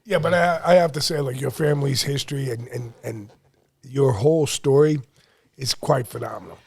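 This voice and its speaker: A man co-hosts a casual podcast in a gravelly, husky voice. He is enthusiastic and quick to praise, and stumbles over his words as he goes. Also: medium pitch, a little mellow. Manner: enthusiastic, heaps praise, stammers. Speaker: podcast co-host.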